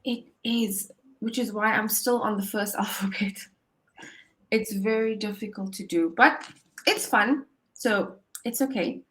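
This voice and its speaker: A woman's voice: mid-range voice, a little slow, a bit bright, rough recording. A woman tutoring English over a video call, upbeat and encouraging, often laughing as she explains. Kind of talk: casual conversation lesson.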